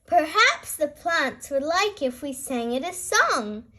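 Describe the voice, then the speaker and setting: A woman reads the part of a kindly female gazelle teacher in a children's storybook. She is high-voiced and unhurried, explaining things gently and patiently, with lots of encouraging lilt. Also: big pitch swings, exaggerated voice.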